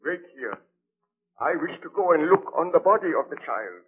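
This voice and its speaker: A man's voice: on the high side, very animated, moderate pace, breathy edge. An actor playing a dignified, worried nobleman who speaks formally in a 1930s radio drama. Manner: formal, commanding. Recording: tinny lo-fi 1930s radio transcription.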